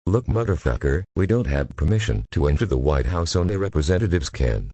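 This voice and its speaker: A man playing a gruff, blunt, foul-mouthed monster hunter in a fan-made drama. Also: rumbling low, big pitch swings, brisk, dark tone, no room sound, heavily acted. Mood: blunt, determined.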